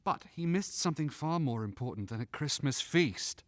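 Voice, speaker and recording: Animated, good-hearted young gentleman, acted by a man. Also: fairly high pitch, moderate pace, dry recording, clean studio sound, close to an everyday voice. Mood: good-humoured, earnest. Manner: jovial, earnest. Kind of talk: stage-style radio drama acting.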